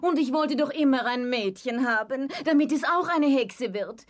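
A woman's voice: high voice, normal speed, close to an everyday voice. A woman playing a wistful witch mother of six boys in a children's audio drama, confiding emphatically.

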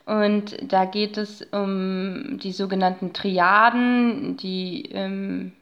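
A woman's voice: medium pitch, little pitch movement, very slow, muffled recording. A woman speaking as a podcast guest and researcher, explaining things in a conversational, academic way with tentative phrasing.

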